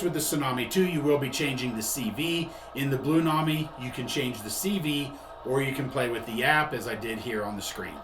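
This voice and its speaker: Man explaining in an echoey room. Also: medium pitch. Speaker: host and product demonstrator. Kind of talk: explanatory tutorial demonstration. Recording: echoey room with faint background noise.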